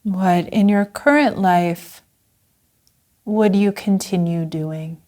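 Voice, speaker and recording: Woman, meditation guide, very slow pace, mellow dark timbre, gentle, long pauses. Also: medium pitch. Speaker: Zen Buddhist teacher guiding a meditation.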